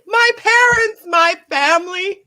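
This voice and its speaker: A woman's voice: very high voice, unhurried, sharp tone, exaggerated voice. A woman acting a proud, scornful young warrior in a comedic script read. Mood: outraged.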